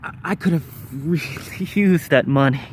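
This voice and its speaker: Rambling man over clear background noise. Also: on the high side, moderate pace. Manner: rambling, repeats words and phrases in bursts. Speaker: vlogger. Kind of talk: casual personal storytelling to viewers.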